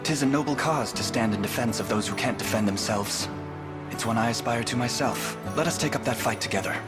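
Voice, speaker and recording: An actor playing a noble young prince in a video game, speaking in a courteous, measured and formal way. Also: male voice, mid-range voice, natural voice. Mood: approving, noble. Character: noble young prince leading a liberation army. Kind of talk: scripted video game voice acting. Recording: voice under loud game music.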